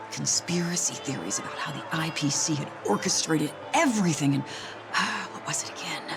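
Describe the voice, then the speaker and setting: An actor voicing a curious, skeptical man fishing for gossip in a nosy, brisk way. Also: fairly high pitch, gravelly. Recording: game cutscene over loud music.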